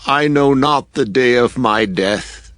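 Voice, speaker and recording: An actor playing a frail, solemn old father in a dramatized scripture reading, with halting, drawn-out delivery. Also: mid-range voice, fairly bright tone, no echo. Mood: weary, solemn.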